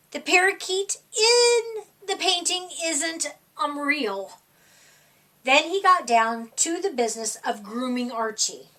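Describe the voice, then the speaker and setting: High, bright-voiced woman reading a storybook. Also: far from the mic. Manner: animated, dramatic, draws out words for young listeners. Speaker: storyteller reading a children's picture book. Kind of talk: expressive children's storytime read-aloud.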